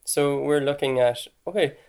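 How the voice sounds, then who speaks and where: A man's voice: fairly high pitch, normal speed, gravelly, darkish tone, clean tone. A man with an Irish accent hosting a podcast, explaining things in a conversational way with casual asides. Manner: conversational, chatty asides with "like" and "right?". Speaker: podcast host.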